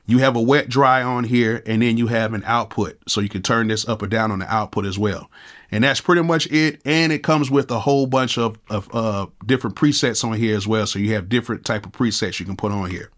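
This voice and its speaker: A man's voice: lowish voice, a little fast, a bit bright. Sick, nasal man demoing a plugin. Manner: laid-back, conversational, slangy. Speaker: music producer and YouTube host. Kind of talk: casual software tutorial. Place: home studio room.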